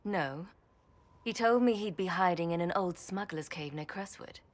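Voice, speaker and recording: An actress playing a weary, modest champion in a fantasy video game, measured and reflective. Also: female voice, lowish voice, close to an everyday voice. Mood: grave, informative. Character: weary, modest female champion, sober and helpful. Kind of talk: video game dialogue acting.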